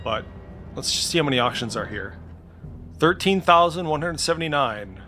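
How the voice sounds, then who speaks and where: A man's voice: fairly high pitch, animated, moderate pace, sharp tone, faint music behind. A man giving an informal, unscripted tech walkthrough, hesitant and thinking aloud, with the odd mild swear. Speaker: tech video creator. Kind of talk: casual unscripted screen-share walkthrough. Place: indoors at a computer.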